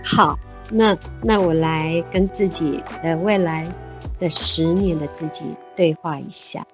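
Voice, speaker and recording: A woman's voice: low voice, pushed and bright, soft background music. Cheerful woman in her fifties, guest chat. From Taiwan. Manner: cheerful, laughs often, quick bursts of enthusiasm. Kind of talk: casual interview chat.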